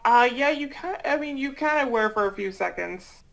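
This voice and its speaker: A woman's voice: on the high side, expressive, moderate pace, vocal fry, fairly bright tone. A woman chatting casually, hesitant and apologetic, often stammering over the words. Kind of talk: casual chat.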